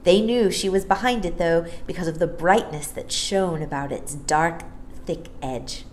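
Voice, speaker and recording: A woman's voice: on the low side, measured pace, a little mellow, slight background noise. A woman narrating a children's story expressively from a script as a storyteller.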